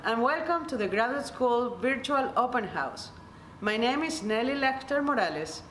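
A middle-aged woman with a Venezuelan Spanish accent reads a scripted welcome as an associate dean. Her voice is slightly high, in an echoey office with faint background noise. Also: fairly bright tone. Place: indoor office by large windows.

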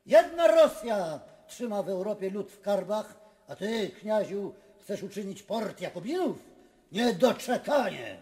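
An actor playing a pompous, indignant aristocratic magnate, declaiming in a radio drama. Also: male voice, sits high, very animated, unhurried, a little mellow. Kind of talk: theatrical radio drama acting. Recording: long reverberant hall sound.

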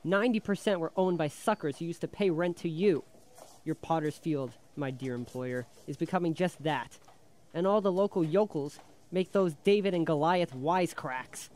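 A man's voice: a little high, a little mellow, natural voice. An actor playing a wry, frank rent collector who slyly needles his boss in a radio drama. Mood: sardonic, needling. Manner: sardonic, fast-patter monologue.

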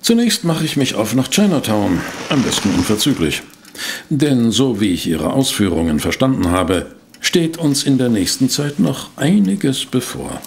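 Polite detective's assistant, audio drama, low creaky voice, animated intonation, measured, courteous. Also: male voice, slight background noise. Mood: agreeable, dutiful. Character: loyal, polite male detective's assistant and narrator. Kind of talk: German audio drama acting and narration.

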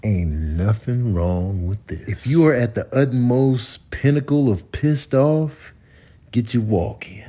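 A man's voice: mid-range voice, a little mellow, breathy edge. A man giving comic commentary in an emphatic, colourful, slangy way. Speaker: comedian commentator.

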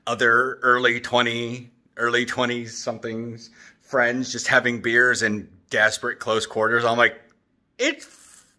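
A man in his thirties chatting casually in a vlog with an animated, jokey manner, recording on a phone at arm's length in an apartment. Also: mid-range voice, measured pace. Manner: animated, jokey, acts out quotes. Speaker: vlogger. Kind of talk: casual rambling vlog chat. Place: open-plan apartment living room and kitchen.

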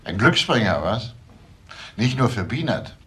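An actor playing a probing, insistent police detective with a gravelly voice in a crime drama. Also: male voice, fairly low pitch, sing-song, measured pace, a bit bright, heavily acted. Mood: dry, insinuating. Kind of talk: German TV crime drama dialogue.

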